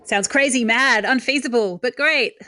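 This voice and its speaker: A woman's voice: fairly high pitch, moderate pace, sharp tone, a little hiss or hum. A woman hosting a food podcast, prompting her guest with eager, open questions. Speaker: podcast host. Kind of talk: interviewing.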